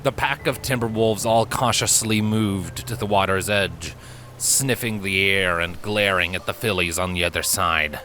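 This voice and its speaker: A man reading a story as an audiobook narrator, with dramatic, suspenseful and deliberate phrasing. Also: deep voice, sharp tone, a little hiss or hum.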